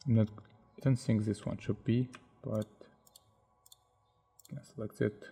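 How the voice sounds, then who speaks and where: Tutorial narrator, man, non-native English, gravelly and fairly low, halting think-aloud delivery. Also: steady tone, dark tone. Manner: unhurried, thinks aloud step by step with many hesitations. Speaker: software tutorial instructor. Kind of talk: narrating a screen-recorded modeling tutorial.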